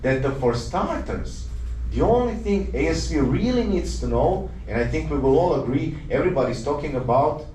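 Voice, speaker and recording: A man's voice: fairly high pitch, lively intonation, normal speed, warm tone, a little hiss or hum. A man from Croatia, a doctor giving an earnest conference lecture full of rhetorical questions and small self-corrections. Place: lecture hall. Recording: roomy hall, mic at a distance.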